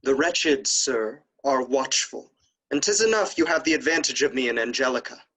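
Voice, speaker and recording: A performer acts out a proud young nobleman of Restoration comedy, the domineering brother. The mid-pitched voice is formal and imperious, with the measured phrasing of the stage. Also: expressive, a bit bright, breathy edge, rough recording. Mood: stern. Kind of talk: theatrical Restoration play acting.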